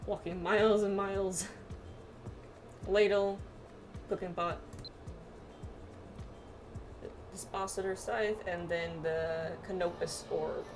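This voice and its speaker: A woman's voice: mid-range voice, steady tone, slow pace, pauses often, over music. A woman chatting casually through a game playthrough and reading bits of game text aloud. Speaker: gamer playing through a story quest with friends.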